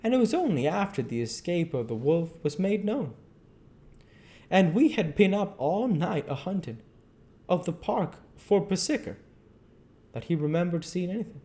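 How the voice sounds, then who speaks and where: A man's voice: high voice, sing-song, normal speed, mellow. A man reading a classic novel aloud as an amateur narrator, stumbling and repeating phrases as he goes. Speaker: amateur audiobook reader.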